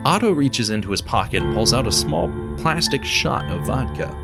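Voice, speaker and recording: A man narrating an audio drama in a measured storytelling manner. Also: medium pitch, big pitch swings, vocal fry. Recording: loud music bed under voice.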